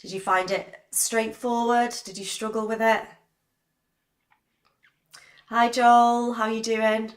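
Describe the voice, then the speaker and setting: A woman, hoarse from a cold, giving a live-streamed biology revision lesson and chatting with viewers by name. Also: fairly high pitch, little pitch movement, takes it slowly, pauses often. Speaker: biology teacher.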